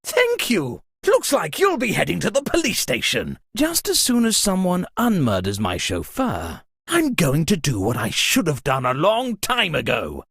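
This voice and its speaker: A theatrical gentleman of wealth and titles, pompous and easily aghast. His pitch leaps wildly between droll murmurs and exclamations, with a husky edge, recorded very dry, like a comedic game character. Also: huge pitch swings, unhurried, few pauses, dry recording, heavily acted. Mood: haughty, fussy. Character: pompous, dramatic landed-gentry party host, theatrically aghast. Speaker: game character hosting a murder mystery party.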